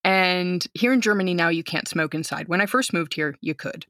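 A woman's voice: on the low side, normal speed, no echo, studio quality. An American woman in her thirties, an outgoing teacher telling a story in casual conversation and acting out the voices of the people in it. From United States. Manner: animated, outgoing storyteller who acts out quoted lines. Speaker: guest, teacher.

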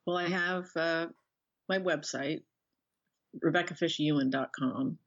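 A woman's voice: deep voice, a little slow, vocal fry, slight background noise. A woman speaking casually as a guest author in an interview, thinking aloud in a rambling, self-effacing way. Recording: dull lo-fi call audio.

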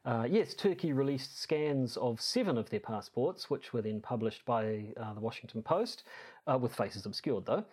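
A man's voice: medium pitch, expressive, moderate pace, darkish tone, slightly rough. A man co-hosting a podcast in casual discussion, with a hesitant, rambling delivery that often repeats words. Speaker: podcast co-host.

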